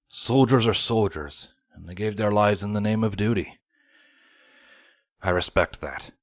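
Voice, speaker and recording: A man reads as a grave, dutiful male guard officer in a storybook tale. He speaks formally and with measure, in a lowish voice with a dry, close sound. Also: unhurried, no room sound. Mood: solemn, sympathetic.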